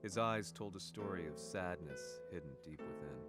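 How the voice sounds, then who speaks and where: A man playing a brooding, vengeful journalist, narrating his story in a solemn, measured, scripted way for a video game. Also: low-pitched, lightly acted. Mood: solemn, bitter. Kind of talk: scripted dramatic first-person narration. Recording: loud guitar music behind.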